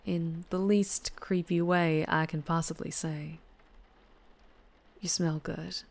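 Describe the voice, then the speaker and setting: A slow-talking, low-voiced vampire biker with a Southern US drawl , played by a woman. She is flirtatious and protective, speaking softly and intimately in an ASMR roleplay, often sinking toward a whisper. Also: steady tone. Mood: teasing, reassuring. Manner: soft, intimate, often dropping into breathy whispers.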